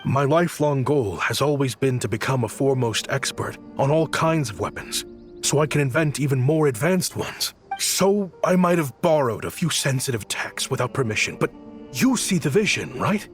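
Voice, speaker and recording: A man's voice: mid-range voice, expressive, moderate pace, close to an everyday voice. An actor voicing an earnest young exiled researcher obsessed with his craft, in a dramatic video game performance. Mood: eager, earnest. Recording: studio voice over prominent music. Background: music.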